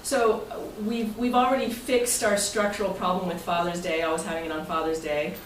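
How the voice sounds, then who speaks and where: A woman's voice: lowish voice, fairly even intonation, moderate pace. An American woman speaking informally as club president and host of a meeting, in a jokey manner. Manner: jokey, breaking into chuckles. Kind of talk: informal speech to a club meeting, part read from prepared text. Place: indoor club meeting room. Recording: echoey, noisy room, distant mic.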